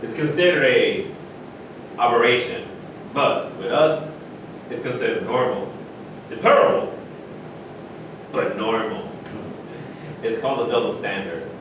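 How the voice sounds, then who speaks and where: A man acts out an opinionated, wry basketball great in a stage play. He talks like a storyteller, pausing for emphasis. The sound is distant and very echoey, over rumbling noise. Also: lightly acted.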